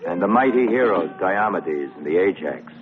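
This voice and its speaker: A man's voice: low-pitched, lively intonation, moderate pace. A man playing a proud, embittered Greek warrior hero in a dubbed historical epic film, theatrical and sardonic. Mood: bitter, sardonic. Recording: tinny old film soundtrack with music behind.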